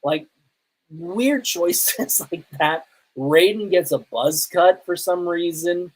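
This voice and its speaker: Give a mid-pitched podcast host reviewing movies in a casual, conversational way. The host speaks as an opinionated fan, laying out views informally. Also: slow, rough recording. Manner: opinionated self-confessed fan.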